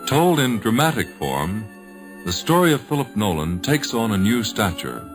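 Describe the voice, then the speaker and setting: Deep-voiced American radio host, expressive. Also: male voice, music in the background. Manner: formal, measured. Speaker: radio host introducing a drama. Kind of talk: 1950s radio scripted introduction.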